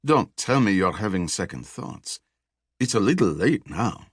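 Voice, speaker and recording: A man's voice: fairly low pitch, big pitch swings, unhurried, breathy edge, dry recording, studio quality, full character voice. A man voicing a fussy, overprotective French expedition commander with a French accent and a bossy manner, in an audiobook. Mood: probing. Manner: fussing, bossy.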